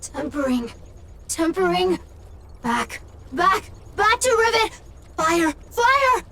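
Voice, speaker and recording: A woman voicing a small, childlike, malfunctioning robot in a video game, speaking in repeated, halting single words. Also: very high-pitched, sing-song, very noisy, heavily acted. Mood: glitchy, agitated. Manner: halting one-word utterances, repetitive. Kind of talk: video game character dialogue. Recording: faint game music behind the voice. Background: faint game music.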